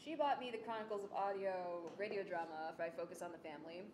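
A young woman giving an informal presentation in an animated, self-deprecating way. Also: fast talker. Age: young adult. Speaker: student presenter, audio drama writer. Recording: echoey room with clear rumbling noise.

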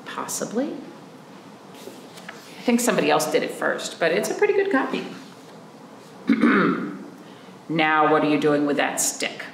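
A woman in her thirties, a children's librarian, reading a picture book aloud in an animated, playful way and asking the children questions. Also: low voice, a little slow, gravelly, pauses often, reverberant, a little hiss or hum, poor audio. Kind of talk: storytime read-aloud for children. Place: library room in front of a painted wall.

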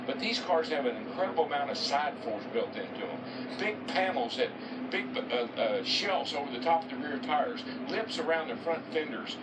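Guy breaking down race car aerodynamics on tv, distant sound, loud noise. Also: fairly high pitch, expressive, normal speed. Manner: explanatory, conversational. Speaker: race analyst. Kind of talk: broadcast race commentary. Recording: TV audio filmed off a screen, from a distance, with loud noise.

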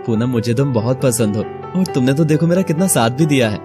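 A man voicing an earnest, hesitant young male college student with an Indian accent in an animated story. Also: mid-range voice, fairly quick, music in the background, natural voice. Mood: hesitant, confessing.

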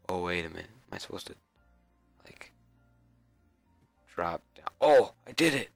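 A young man with a cold and a raspy, scratchy voice, mumbling casual commentary to himself while playing a game. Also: low voice, a bit bright. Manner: mumbling, self-talk with frequent fillers and repeats. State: hoarse with a cold. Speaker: gameplay video creator.

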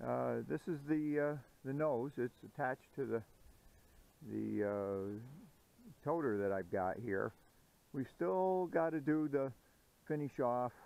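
A middle-aged man casually explaining a welding project outdoors, slow and halting with many "uh" pauses. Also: medium pitch, vocal fry, darkish tone, low-quality recording. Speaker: DIY channel host. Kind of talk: casual how-to narration. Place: outdoors on a grassy yard.

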